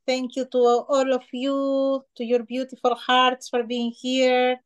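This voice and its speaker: A middle-aged woman from Peru, speaking slowly and reverently as a healer on a video call. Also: high-pitched, monotone. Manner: slow, soft-spoken, reverent gratitude lists. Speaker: shamanic healer guest. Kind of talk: spiritual talk on a video call. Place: small decorated room indoors. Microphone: video call webcam.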